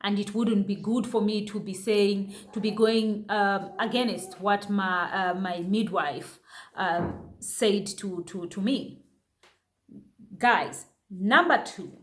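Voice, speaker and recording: A woman's voice: mid-range voice, slow pace. A young woman from Zambia telling viewers about her experience as a new mother, in a chatty way with many fillers and restarts. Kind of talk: casual personal storytelling to viewers.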